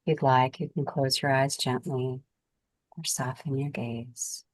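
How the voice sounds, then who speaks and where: A woman leading a guided meditation as its guide, speaking calmly and soothingly in gentle, unhurried phrases with pauses. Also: very deep voice, dry recording, low-quality recording.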